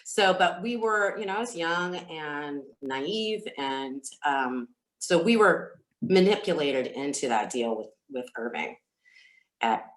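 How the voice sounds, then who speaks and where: A woman who is a singer telling stories as an interview guest, speaking casually and candidly in a halting, reflective way. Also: deep voice, lively intonation. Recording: roomy, echoey sound.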